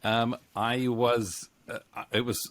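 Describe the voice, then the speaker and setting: A man's voice: mid-range voice, flat delivery, slow, a bit bright, no echo. A middle-aged man hosting a casual interview, hesitant and rambling, speaking close to a microphone at home. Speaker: interview host and co-writer. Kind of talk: casual video-call interview. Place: book-lined home room. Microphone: studio microphone on boom arm, close.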